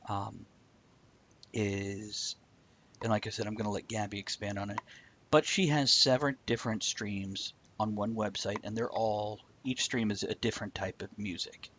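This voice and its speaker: A man hosts a laid-back live stream and rambles through his points. His voice sits a little low, and he trips over a word here and there. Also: fairly even intonation, normal speed, a bit bright, a little hiss or hum. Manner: rambling, stumbles over words. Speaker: show host. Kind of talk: casual live-stream chat.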